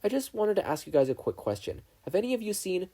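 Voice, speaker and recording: Man as nervous young boy, storybook hero, hesitant, stammering, raised pitch, husky. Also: big pitch swings, fast, mellow, heavily acted. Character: anxious, self-doubting boy adventurer.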